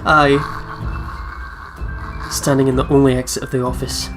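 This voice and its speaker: A let's play host with a medium-pitched voice reads visual novel narration aloud. The delivery is dramatic, broken by casual asides, with soft music behind. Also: level tone, normal speed. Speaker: gaming video host.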